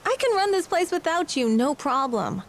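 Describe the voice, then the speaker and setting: Confident young daughter, video game, high-pitched, very lively intonation, teasing, self-assured. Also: female voice, normal speed, a little hiss or hum, full character voice. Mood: teasing reassurance.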